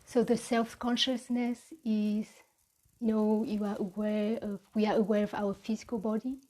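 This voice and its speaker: A woman with a Vietnamese accent giving a reflective mindfulness talk as a meditation teacher, with halting, deliberate phrasing. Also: medium pitch, dark tone, low-quality recording.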